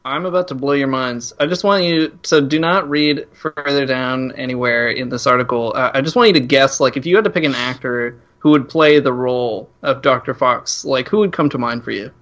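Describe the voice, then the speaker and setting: A man with a bright, forward voice and a medium pitch hosts a casual comedy chat. He talks in a joking, teasing manner, like someone setting up a reveal for friends. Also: brisk, hardly any pauses, dull audio. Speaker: podcast host.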